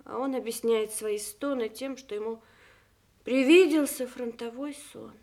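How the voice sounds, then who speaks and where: An actress playing a general's devoted, anxious wife in a Soviet radio play, with an expressive, emphatic manner. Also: female voice, fairly high pitch, unhurried. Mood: grave, anxious.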